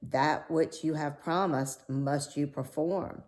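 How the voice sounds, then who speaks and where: A woman's voice: low voice, fairly even intonation, takes it slowly, a little mellow. A woman voicing a stern, commanding older king and father in a storybook. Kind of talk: storybook read-aloud character voice.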